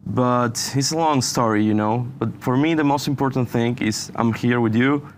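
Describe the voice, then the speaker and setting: A young man from Venezuela, a university student answering interview questions in halting English and saying "you know" often. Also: medium pitch, slight background noise. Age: young adult. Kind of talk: casual interview.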